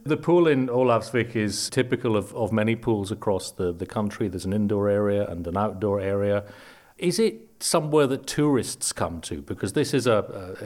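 A man hosting an interview podcast, asking friendly, unhurried questions and often restarting his sentences. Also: on the low side, darkish tone. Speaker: podcast host. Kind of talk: conversational interview.

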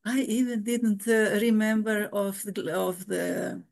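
Woman, older, nun, halting, many restarts, frequent laughs. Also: medium pitch, fairly even intonation, gravelly, darkish tone. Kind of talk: casual interview.

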